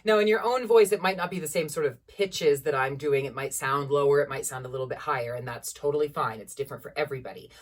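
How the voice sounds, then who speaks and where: Low-voiced woman teacher leading a warm-up. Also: fast, few pauses, recorded from a distance. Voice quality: low-placed speaking voice. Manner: encouraging, instructive, demonstrates vocal sounds. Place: small room at home with a piano.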